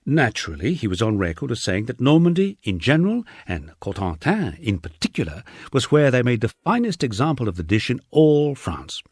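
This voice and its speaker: A man's voice: mid-range voice, darkish tone, slightly rough, no echo, clean studio sound. A man reading a memoir as an audiobook narrator, with a wry storytelling delivery.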